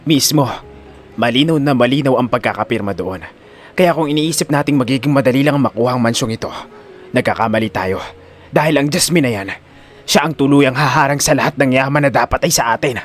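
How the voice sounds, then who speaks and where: A scheming father in an audio drama, played by a man. He sounds grave and tightly angry. His words come out deliberate and tense. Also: mid-range voice, faint music behind, pitched below the performer's own voice, close to an everyday voice. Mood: grim, angry. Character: grim, angry scheming father bearing bad news. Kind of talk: audio drama character acting.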